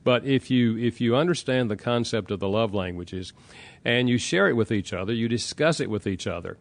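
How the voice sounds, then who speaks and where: A man with a Southern American accent, an author answering interview questions in a calm, explanatory way and walking through examples. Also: mid-range voice, brisk, vocal fry. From Southern United States.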